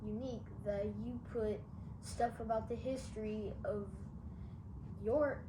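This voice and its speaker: A child of about ten asking prepared interview questions and reacting in an earnest, halting way. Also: mid-range voice, steady tone. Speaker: student interviewer. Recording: echoey, distant mic, noisy room.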